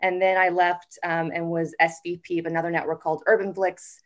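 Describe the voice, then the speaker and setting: A woman in her forties chats through a casual podcast interview with easy, upbeat energy. Her voice sits on the low side for a woman, and she often fills gaps with "um" as she works through her story. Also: flat delivery. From United States. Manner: chatty and upbeat, with frequent "um" pauses. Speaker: guest, TV network executive.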